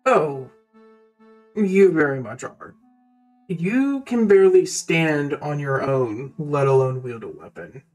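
Stern, dismissive warrior voiced by a tabletop player, medium pitch, soft music underneath. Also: expressive, slow pace. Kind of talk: in-character tabletop roleplay.

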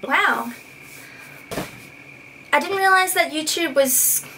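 Bright, high-voiced sarcastic young woman. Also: lively intonation, takes it slowly, soft background music, poor audio. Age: young adult. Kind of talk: vlog response. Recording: noticeable background noise.

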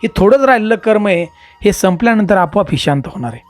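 Storyteller playing male astrologer, patient, learned, emphatic explanations, lively pitch, folk moral story, music behind. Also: quick pace, close to an everyday voice. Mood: earnest, resigned. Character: patient learned male astrologer recounting past-life karma.

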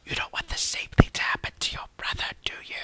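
A man voicing a creepy, unhinged scientist in an anime style, whispering halting, sinister insinuations in a breathy voice. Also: a bit bright, no room sound, close to an everyday voice.

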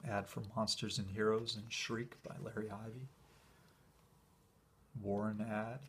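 A man casually talking through an old convention program as he leafs through it, relaxed and enthusiastic, with small fillers and pauses, recorded indoors by a camera filming his hands from above. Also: lowish voice, a little hiss or hum. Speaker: collector showing a convention program. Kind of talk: casual flip-through commentary.

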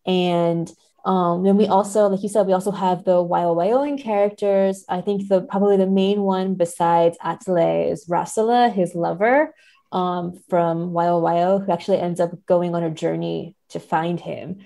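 A woman's voice: on the low side, fairly even intonation, normal speed, vocal fry, dark tone. A woman speaking as an interview guest, thoughtfully explaining things in an analytical way. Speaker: podcast guest, literature scholar.

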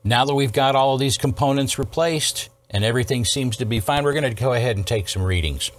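An older man in his sixties hosts a hands-on technical walkthrough. His pitch sits in the middle range for a man. He explains step by step in a casual, methodical way, often pausing to check in. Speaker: host, electronics restorer. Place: indoor workshop studio at a workbench.

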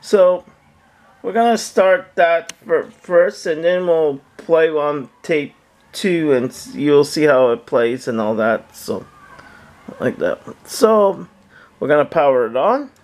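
A man giving a casual, halting product demonstration as a seller, often repeating himself, recorded indoors on a handheld camera. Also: a little high, a little mellow. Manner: halting, repetitive, plain-spoken. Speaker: online seller demonstrating an item. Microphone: handheld camera, speaker off screen behind it.

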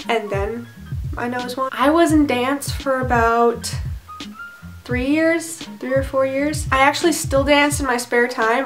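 A teenage girl vlogging to camera from her bedroom, chatting and rambling in a self-deprecating way. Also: high-pitched. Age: teens. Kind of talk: casual chat to camera. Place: bedroom, indoors.

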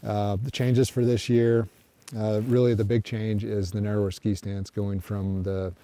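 A middle-aged man sitting on a snowmobile in the snow, giving a casual review to the camera in a relaxed, halting way with many restarts. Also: lowish voice. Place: outdoors on snowy flat by trees. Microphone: camera framed from a distance, seated on a snowmobile.

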